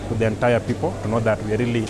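A male mortgage advisor at an indoor expo stand, giving an earnest sales pitch and repeating "really" often. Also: little pitch movement, rapid-fire, background noise.

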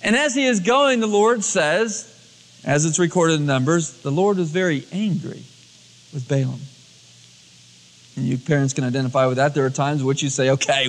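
A man preaching a storytelling sermon as a pastor, acting out dialogue in everyday colloquial words. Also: on the high side. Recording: long hall reverb, cassette tape transfer.

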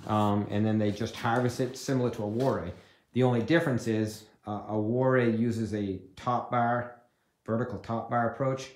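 A man's voice: mid-range voice, steady tone, moderate pace, a little mellow. A man in his forties giving a casual, rambling, informal handheld vlog narration as a beekeeper, thinking aloud into a phone. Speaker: beekeeper vlogger. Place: outdoors in snowy yard, later inside a barn.

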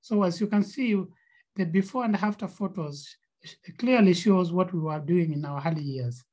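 A woman's voice: lowish voice, normal speed, warm tone, rough recording. A woman with a Kenyan accent, co-founder of a nonprofit, presenting slides on a video call with frequent fillers and repeated words.

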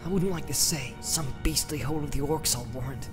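A man's voice: fairly high pitch, a little fast, music in the background, pitched above the performer's own voice, close to an everyday voice. A man voicing a small, plain-spoken, suspicious hobbit traveller with a homely, grumbling manner in a fantasy audiobook. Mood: wary, grumbling.

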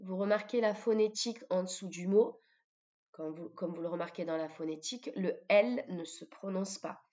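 A French-accented woman teaching vocabulary in a didactic way, repeating words deliberately for pupils. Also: fairly low pitch, warm tone. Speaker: English teacher. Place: voice-over of a screen recording. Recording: dull lo-fi microphone sound.

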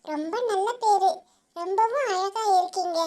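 Cartoon crow for a kids' tale female performer: tiny, very high voice, courteous and meek. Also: measured pace, mellow. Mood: friendly. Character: polite, humble, freeloading crow in a children's story. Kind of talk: children's animal story character voice.

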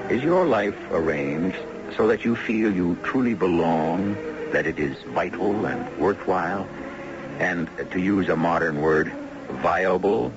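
A man's voice: low voice, measured pace, music in the background. A man hosting and narrating a radio drama with scripted, literary, theatrical delivery. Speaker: host and narrator. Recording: dull, noisy 1970s radio recording.

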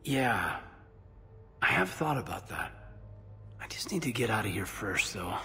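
A man playing an earnest, soft-spoken, somewhat hesitant space soldier in a video game, speaking intimately. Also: lowish voice, big pitch swings, a little slow, gravelly, slight background noise, exaggerated voice. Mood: sincere, relieved. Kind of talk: video game dialogue acting.

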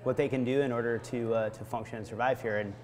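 An American man in his thirties from Vermont giving reflective interview answers, haltingly, searching for words. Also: mid-range voice, fairly even intonation. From Vermont, United States. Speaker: brewery founder being interviewed. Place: indoor barrel room of a brewery. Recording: noticeable background room noise.

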